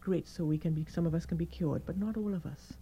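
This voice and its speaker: A middle-aged woman doctor with a croaky, hoarse voice, calmly explaining her experience as a radio guest. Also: lowish voice, fast talker, a little hiss or hum. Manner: calm, reassuring, explains step by step. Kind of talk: radio interview.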